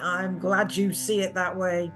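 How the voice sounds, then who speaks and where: An actress playing a wry, careful underground weapons smuggler in a stage drama, terse and matter-of-fact. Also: female voice, on the low side, flat delivery, a little slow, natural voice. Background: faint music.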